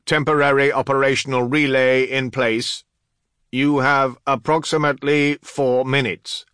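Man as a formal, high-pitched master engineer. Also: flat delivery, a bit bright, clean tone, no room sound, studio quality, lightly acted. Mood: brisk, formal. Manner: formal, measured, polite.

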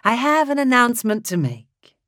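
Woman doing a high mellifluous male conductor, ceremonious, loud, dry studio sound. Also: big pitch swings, normal speed, no room sound. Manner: ceremonious stage announcer. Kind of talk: audiobook character voice.

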